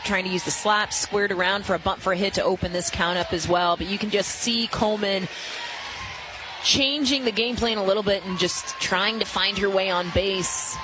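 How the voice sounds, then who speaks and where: A woman giving conversational, opinionated colour analysis on a softball radio broadcast, speaking emphatically. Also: mid-range voice, background noise.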